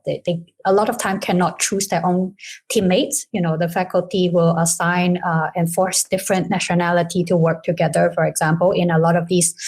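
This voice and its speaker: A woman from Hong Kong answering questions about her school's program over a video call, hesitant and filling pauses often. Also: lowish voice, vocal fry, a little mellow, husky. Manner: hesitant, many "um" and "you know". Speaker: school representative. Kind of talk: casual webinar answers.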